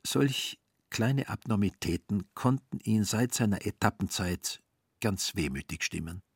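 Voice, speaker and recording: A man with a husky voice narrating a literary radio play in a wry, dry manner. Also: fairly low pitch, lively intonation, unhurried, dry recording.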